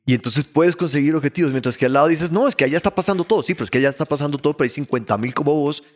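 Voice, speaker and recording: Man, fifties, from Colombia, raised in Ecuador, musician, casual animated chat. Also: on the high side, racing. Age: 50s. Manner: animated storyteller, frequent restarts, laughs easily. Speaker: musician guest.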